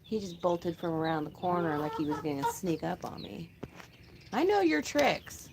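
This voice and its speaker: A woman chatting casually on a live stream from her chicken coop outdoors, talking to her birds, with a calm, disinterested-sounding voice. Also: lowish voice, normal speed, vocal fry, noisy background. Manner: chatty, laughs often, talks to her birds. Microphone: phone held in hand.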